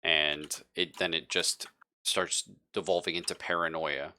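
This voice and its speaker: A man with a deep but bright, forward voice acts as game master for a tabletop horror game. He improvises his narration in a chatty, emphatic way, often paraphrasing as he explains. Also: little pitch movement, a little slow, clean tone.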